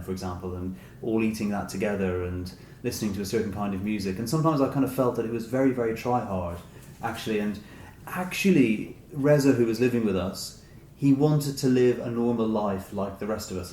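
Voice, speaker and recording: A man giving reflective, conversational answers as an author being interviewed, often softening his points. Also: on the low side. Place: indoor room with echo. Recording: echoey room, some background noise.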